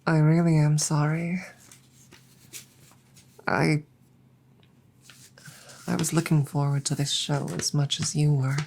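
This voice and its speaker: A woman voicing an apologetic, self-blaming dragon girlfriend in a roleplay audio drama, speaking softly, tenderly and haltingly. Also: low-pitched, little pitch movement, vocal fry, slight background noise, exaggerated voice. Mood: guilty, apologetic.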